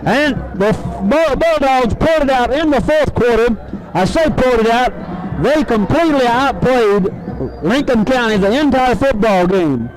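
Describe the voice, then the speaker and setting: A man's voice: extremely high pitch, expressive, moderate pace, over music, poor audio. A man with a Southern US accent giving folksy, emphatic football color commentary on a 1970s radio broadcast.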